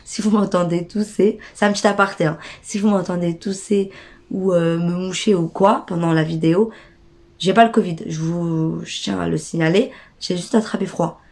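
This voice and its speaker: A young woman's voice, slightly low for a woman and audibly stuffed up from a cold. She rants in loose street slang and speaks directly to her viewers, indignant and exasperated. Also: steady tone, normal speed, a little mellow. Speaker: vlogger. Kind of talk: casual storytime rant.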